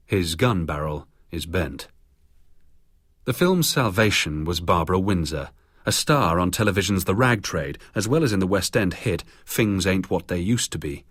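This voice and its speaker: A man's voice: low voice, animated, normal speed, fairly bright tone, dry recording, clean studio sound. A British man narrating a scripted biography for radio.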